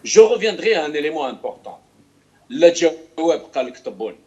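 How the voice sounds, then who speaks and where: A man's voice: a little high, big pitch swings, a little fast, darkish tone, lo-fi sound. A man from Algeria speaking as a guest in a political discussion, emotional and insistent. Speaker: guest from the diaspora, called on by the host.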